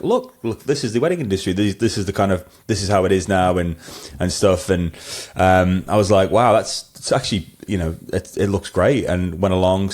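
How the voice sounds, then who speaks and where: A middle-aged man with a low voice and a twangy blend of Scouse and Mancunian. He chats casually as an interview guest, rambling through anecdotes with a self-deprecating touch. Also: a little mellow. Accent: hybrid Scouse-Mancunian. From northwest England, Wirral and Manchester. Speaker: podcast guest, wedding photographer.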